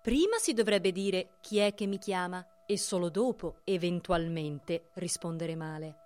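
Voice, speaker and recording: An actress playing a gentle flying fairy lady in a theatrical radio play, kindly, composed and instructive. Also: lowish voice, unhurried, soft background music, lightly acted. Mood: calm, correcting.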